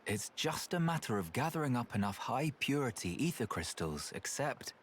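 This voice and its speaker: A man's voice: mid-range voice, sing-song, normal speed, a little hiss or hum, natural voice. Man as practical, mid-voiced game villager. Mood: considering. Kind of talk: video game voice acting.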